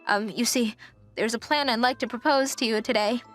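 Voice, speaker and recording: Give me a woman acting a petulant, high-pitched anime villainess. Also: fairly quick, fairly bright tone, faint music behind, lightly acted. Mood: flustered. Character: haughty, defensive young female travel agent, whiny when cornered. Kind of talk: anime-style manga dub acting.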